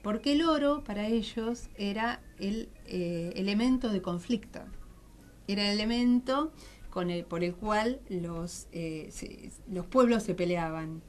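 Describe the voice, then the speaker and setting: A middle-aged Argentine woman speaking as a guest in a conversational radio interview, explaining things and often pausing to search for words. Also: medium pitch, a little mellow. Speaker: guest, writer and journalist.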